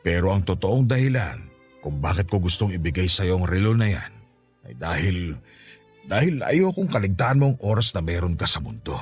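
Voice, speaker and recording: Casting: loving, frail family father for radio drama; teasing yet sentimental, with lively swooping intonation. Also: deep voice, moderate pace, husky, exaggerated voice. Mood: tender, sentimental. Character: affectionate, playful, ailing father of a grown daughter. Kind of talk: Tagalog radio drama acting. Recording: music behind the voice.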